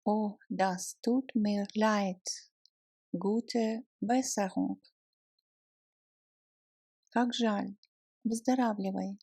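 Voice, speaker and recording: Very slow-speaking woman, language lesson narration. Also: medium pitch, fairly even intonation, dark tone, no room sound, clean studio sound. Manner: deliberate, clearly separated words for learners. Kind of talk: slow, scripted language lesson phrases with repetition.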